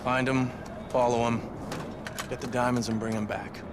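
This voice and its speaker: Man playing an understated, mid-pitched game hero. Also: fairly even intonation, normal speed, background noise, natural voice. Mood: matter-of-fact. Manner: understated, brief, questioning. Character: terse, level-headed young male game hero. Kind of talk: video game cutscene acting.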